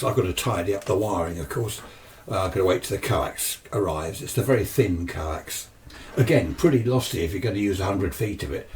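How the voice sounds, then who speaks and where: A man's voice: on the low side, a little mellow. A British man giving an informal, unscripted hands-on explanation as a hobbyist, chatting to the viewer with asides and questions. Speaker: amateur radio hobbyist presenting a demonstration. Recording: distant mic, noisy with clicks.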